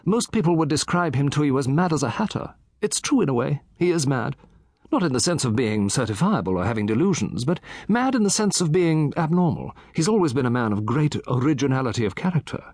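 A man voicing a gossipy, genteel elderly English gentleman, raised in pitch, chatty and lightly amused. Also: sing-song, fairly quick, no echo, clean studio sound. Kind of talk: audiobook character voice.

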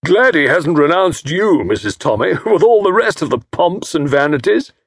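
Guy doing a big hearty laughing bloke, loud and bouncy, dry close sound. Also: on the high side, big pitch swings, moderate pace, slightly rough, dry recording, pitched above the performer's own voice, exaggerated voice. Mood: jovial. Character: loud jovial middle-aged man, boisterous.

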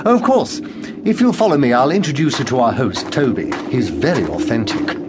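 A man's voice: medium pitch, wildly swooping pitch, normal speed, heavy background noise. An actor playing a polite, eager and slightly anxious male TV producer in a radio comedy, hesitant and fawning. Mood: eager to please. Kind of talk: radio comedy drama acting.

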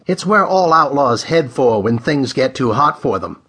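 A man's voice: medium pitch, lively intonation, normal speed, a bit bright, husky. A man voicing a serious, taut ex-navy space outlaw in a pulp science fiction audiobook. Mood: grave. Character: tense alert human space outlaw captain, ex-navy.

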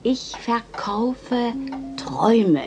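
Dream seller: feisty woman, brisk and mocking, animated delivery for a children's radio play. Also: fairly high pitch, music in the background, full character voice. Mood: businesslike, bossy. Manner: brisk, scolding, teasing. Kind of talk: German children's audio drama acting.

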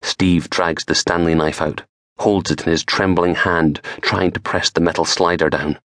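A middle-aged man reading a crime novel aloud in a dramatic, measured storytelling manner. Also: deep voice, steady tone, a bit bright, no echo, clean studio sound. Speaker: author reading own audiobook. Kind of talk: scripted crime fiction narration.

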